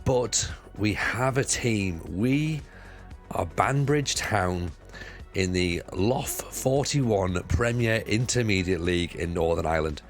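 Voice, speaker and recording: A British man with a croaky voice, chatting casually to viewers on a live stream while playing a football management game, rambling and repeating himself. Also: on the low side, expressive, slow pace, faint music behind. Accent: British English.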